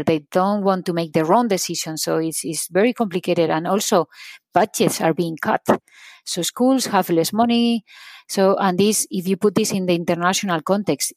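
A woman's voice: lowish voice, no room sound. A middle-aged woman from Spain with a Spanish accent, a company CEO answering interview questions earnestly and restarting phrases often.

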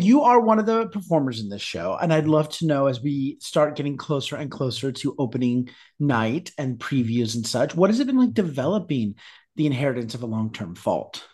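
A woman's voice: sits low, very animated, moderate pace, a little mellow. A woman hosting a theater podcast, enthusiastic and encouraging as she asks her guests questions. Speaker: podcast host. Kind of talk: podcast interview.

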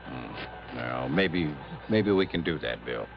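Halting, deep-voiced lawman, swooping intonation. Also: animated, creaky, over music, heavily acted. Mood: accommodating, hesitant. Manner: halting, stammering, repeats words. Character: hesitant, earnest small-town lawman at a death scene. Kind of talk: 1950s-60s radio drama acting. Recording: muffled vintage radio recording.

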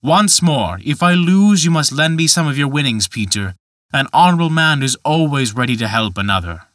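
A man reading aloud voices a big, hearty male gambler who talks loudly and bluffly. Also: mid-range voice, sing-song, a little slow, no room sound, clean studio sound.

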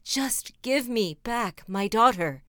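A woman voicing a greedy king in a bedtime story, speaking his lines louder and pleading. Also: a little high, animated, slow, no room sound, studio quality.

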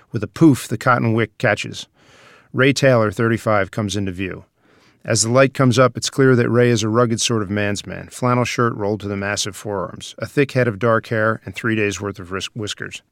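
Low-voiced man narrating a script, dry. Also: normal speed, creaky, no echo. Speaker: narrator reading stage directions. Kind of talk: scripted narration at a screenplay table read.

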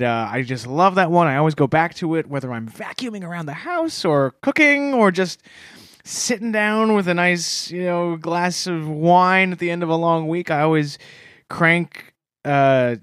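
Podcast co-host, male, slightly high voice, deadpan mock-earnest rambler, dry close mic. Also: a little slow, clear, resonant tone, no room sound. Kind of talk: deadpan comedic podcast banter.

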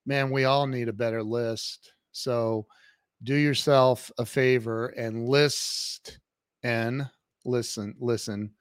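A middle-aged man hosting a show in a casual, enthusiastic style, leaning on words with drawn-out emphasis. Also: medium pitch, fairly bright tone, clean tone, no echo, studio quality. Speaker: show host.

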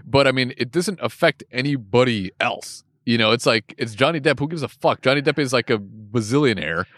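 A man with a lively, swooping pitch rambles through profane, loose podcast chatter. He sounds dry and close to the mic, often trailing off mid-thought. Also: a little fast, no echo. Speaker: podcast co-host. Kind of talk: casual podcast banter.

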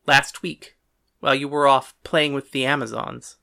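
Woman acting out a defiant husband and mayor, low pitch, forceful bright edge, blustering, exasperated, audiobook dialogue. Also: steady tone, normal speed, creaky, exaggerated voice. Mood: evasive, defensive. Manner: indignant, blustering.

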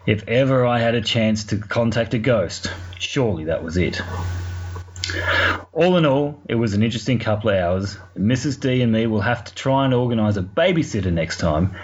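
Low-pitched Australian man, muffled noisy recording. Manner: colloquial, sweary, wry. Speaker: podcast segment contributor. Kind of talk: informal spoken storytelling. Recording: muffled lo-fi recording, clear background noise.

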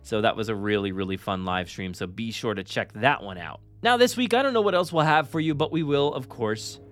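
A man's voice: fairly low pitch, expressive, fairly quick. A man hosting a podcast in a casual, enthusiastic, chatty and informal way. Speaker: podcast host.

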